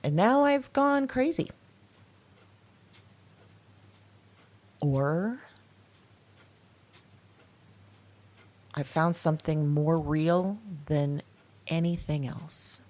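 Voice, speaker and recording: A woman playing a bitter older outcast in hiding, speaking an intimate, halting first-person audio drama monologue in a husky voice. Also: deep voice, darkish tone. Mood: unsettled, reflective.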